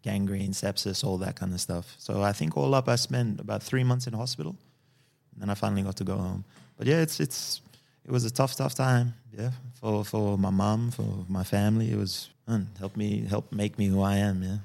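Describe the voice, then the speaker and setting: A man in his early forties from Fiji, calmly telling his life story as a podcast guest and often ending sentences with "yeah?". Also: lowish voice, moderate pace, gravelly. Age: early 40s. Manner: calm, tags sentences with "yeah?" and "right?". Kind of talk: personal storytelling in a podcast interview.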